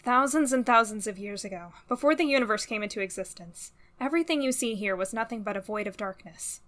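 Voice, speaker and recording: A woman playing a patient storyteller who narrates an ancient creation myth in an animated drama. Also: medium pitch, fast, close to an everyday voice. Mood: solemn storytelling.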